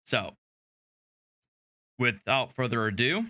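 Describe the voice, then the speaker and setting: A man hosting a casual livestream, talking haltingly and wandering into asides. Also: medium pitch, lively intonation, sharp tone, no room sound, studio quality. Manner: halting, hesitant delivery with trailing asides. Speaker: livestream host.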